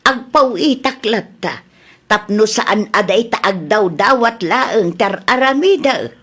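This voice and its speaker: A woman's voice: mid-range voice, expressive, slow pace, a bit bright, breathy edge, pitched above the performer's own voice, exaggerated voice. A woman with an Ilocano accent voicing an elderly mother in a comedy audio drama. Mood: pleading. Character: elderly mother asking her child for food.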